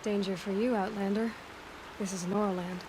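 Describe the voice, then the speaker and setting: An actress playing a determined, self-reliant young huntress in a video game, speaking in a direct, guarded, earnest way. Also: female voice, mid-range voice, steady tone, moderate pace, background noise, close to an everyday voice. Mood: wary, firm. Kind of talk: dramatic video game dialogue. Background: background music.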